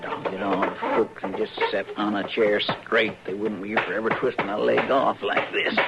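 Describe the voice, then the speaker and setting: A man's voice: fairly low pitch, level tone, moderate pace, gravelly, over loud music. A man playing a good-natured, bumbling marshal's helper in an old radio western, hesitant and deferential, with a rural Western American accent. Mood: grumbling. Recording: muffled, hissy old radio recording.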